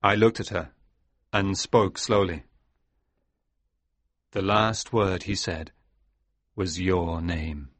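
A man playing a sombre, reflective sailor recounting his past in a dramatised audiobook, speaking in a measured, grave way. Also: lowish voice, dry recording. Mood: grave, troubled.

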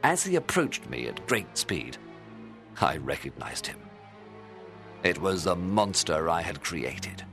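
A man playing a horrified, remorseful scientist telling his tale in a dramatised audiobook, with intense, expressive delivery. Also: deep voice, takes it slowly, creaky, faint music behind, full character voice. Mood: reflective, tense. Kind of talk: dramatised literary audiobook narration.

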